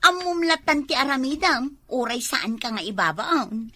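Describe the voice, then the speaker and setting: A woman's voice: high voice, normal speed, sharp tone, dry recording. A woman with an Ilocano accent playing a bossy, nagging village wife in an amateur comedy drama. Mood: bossy, pleased. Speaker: actor in comedy skit.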